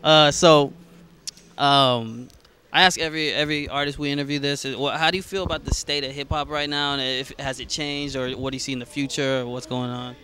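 A man's voice: medium pitch, fairly even intonation, fairly quick, bright, forceful tone, a little hiss or hum. A man in his twenties asking casual interview questions into a handheld microphone inside a store. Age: 20s. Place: indoors in a record store. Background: music playing in the store.

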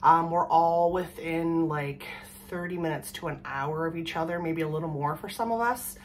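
A woman in her thirties from Canada, hoarse from a cold, chatting casually and rambling to the camera at home. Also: deep voice, normal speed, a little hiss or hum. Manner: rambling, chatty, laughs often. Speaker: vlogger. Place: small room at home, indoors. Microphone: camera in front at desk distance.

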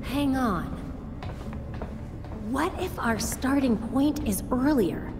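A woman's voice: high-pitched, lively intonation, takes it slowly, full character voice. A woman acting a young, analytical, resolute vigilante in a dramatic video game cutscene. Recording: hall reverb with music behind. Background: music.

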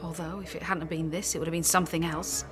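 An actress playing a blunt, protective, distrustful friend in a radio drama. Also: female voice, deep voice, little pitch movement, quick pace, close to an everyday voice. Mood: grave, warning. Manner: dry, frank. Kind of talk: naturalistic radio drama acting. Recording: noisy background ambience.

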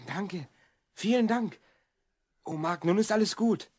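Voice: mid-range voice, unhurried, a little mellow, husky, no echo. An actor voicing an earnest, slightly bossy twelve-year-old boy time traveller in a German children's audio drama. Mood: grateful. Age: child figure, twelve.

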